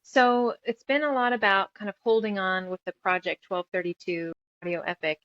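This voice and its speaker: A woman's voice: medium pitch, fairly even intonation, normal speed, no echo, dull audio. A woman from the United States speaking as a creative guest in a casual interview, talkative and reflective as she rambles through her thoughts. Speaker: guest, author, musician and audio drama creator.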